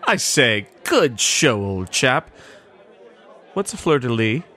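A man playing a dim, cheery upper-class gentleman in a radio drama parody, plummy and bumbling. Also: mid-range voice, sing-song, slow pace, some chatter behind, full character voice. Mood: dimly admiring. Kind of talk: old-time radio drama parody acting.

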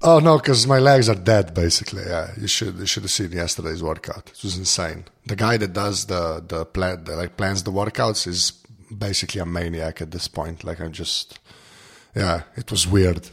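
Gravelly, tired man chatting casually. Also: sits low, moderate pace. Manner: rambling, self-deprecating, informal. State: tired, barely awake. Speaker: podcast guest. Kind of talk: casual chat.

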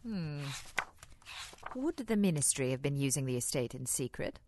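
A poised lady spy played by a woman in a radio play, arch and curious. Her voice sits low and moves with very animated intonation, always coolly clever. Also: moderate pace. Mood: inquisitive. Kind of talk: scripted radio theatre acting.

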